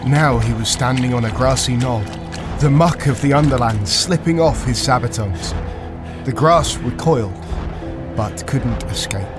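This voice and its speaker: Slow, dramatic male fantasy narrator over music. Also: medium pitch. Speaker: audiobook narrator.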